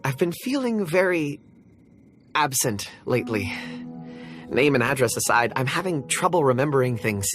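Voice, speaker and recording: A man's voice: medium pitch, big pitch swings, moderate pace, over music, close to an everyday voice. A man playing a polite, anxious, absent-minded wanderer in a video game, speaking hesitantly and apologetically. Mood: apologetic, uneasy. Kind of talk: video game character acting.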